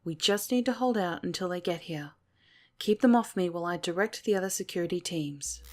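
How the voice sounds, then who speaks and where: A woman playing a commanding alien station captain in an ASMR audio roleplay, authoritative and measured. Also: lowish voice, a little mellow, close to an everyday voice. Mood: focused.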